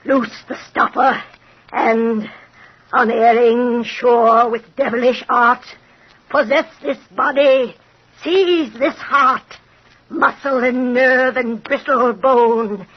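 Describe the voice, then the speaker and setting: Casting: a gloating, witchy female fortune teller, high voice, chanting spells, on a muffled, hissy vintage radio horror recording. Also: fairly even intonation, slow, husky. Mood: eerie, intent. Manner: theatrical, incantatory delivery. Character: sinister female fortune teller conjuring souls, sly and gloating. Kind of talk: old-time radio horror acting.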